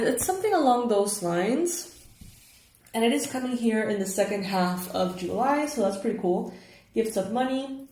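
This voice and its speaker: A young woman hosting her own tarot channel, her voice raspy and hoarse from just waking up, chatting casually with fillers and soft laughs. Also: fairly high pitch. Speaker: tarot reader, channel host. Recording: echoey room, faint noise.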